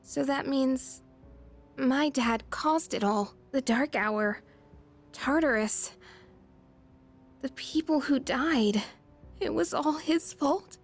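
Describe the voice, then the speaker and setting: A woman's voice: high-pitched, slow, pauses often, faint music behind. An American woman voicing an emotional, bitter, grieving teenage schoolgirl in a video game. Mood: shocked, distressed. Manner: emotional, vulnerable, confiding. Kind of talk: English video game voice acting.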